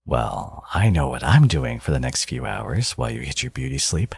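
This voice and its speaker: Man acting a low, husky, teasing villain. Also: very animated, normal speed, a little mellow, no room sound, exaggerated voice. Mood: playful, doting. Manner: teasing, coaxing, intimate. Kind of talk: ASMR audio roleplay, intimate acting.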